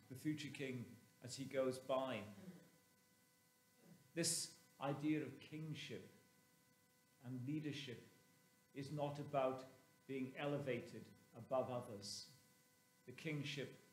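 A man in his sixties preaching a sermon as a clergyman, with measured, emphatic phrasing and pauses, recorded inside a church. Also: medium pitch. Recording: roomy, echoing church sound.

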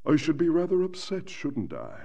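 An actor playing a whiny, pompous mad scientist villain in a cartoonish video game, theatrical and self-pitying. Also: male voice, a little high, huge pitch swings, normal speed, creaky, mellow, recorded from a distance, full character voice. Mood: mock calm. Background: game music.

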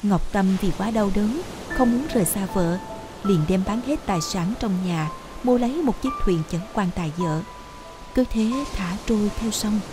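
A woman's voice: medium pitch, expressive, normal speed, low-quality recording. A woman reading scripted fairy-tale narration as a storyteller. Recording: music behind the voice. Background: music.

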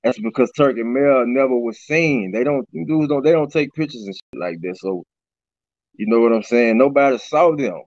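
Man, raspy, middle-aged, dull lo-fi call audio, dry sound. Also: mid-range voice, moderate pace, darkish tone, no room sound. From Baton Rouge, Louisiana. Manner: loose, repeats "you know what I'm saying". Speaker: rapper, interview guest. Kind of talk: casual interview chat. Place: small room indoors. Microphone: webcam on a video call.

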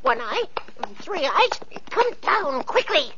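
A woman's voice: very high voice, very animated, slow, dull audio, heavy background noise, full character voice. An actress playing a scheming, bossy mother in an old radio play, bossing her daughters about. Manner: bossy, fawning to royalty. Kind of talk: 1940s radio acting.

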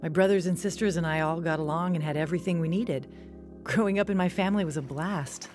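A woman's voice: on the low side, fast, a little mellow, faint music behind, natural voice. An actress playing a teenage girl who tells her own story in a radio drama. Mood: reflective, narrating. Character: anxious, thrill-struck teenage girl telling her story. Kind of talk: radio drama acting and narration.

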